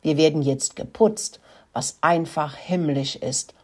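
A woman's voice: low voice, slow. A woman voicing a cheerful, friendly mother pony in a children's story.

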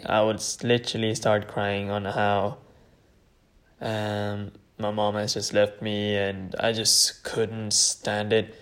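Tired, slow-talking young man from Norway. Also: fairly low pitch. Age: late teens. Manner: rambling, hesitant, personal storytelling. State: tired, late at night. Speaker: podcast host. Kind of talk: solo podcast monologue.

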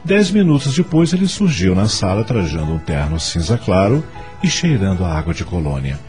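A man's voice: deep voice, very animated, moderate pace, darkish tone, soft background music. A man narrating a scripted radio drama as its storyteller.

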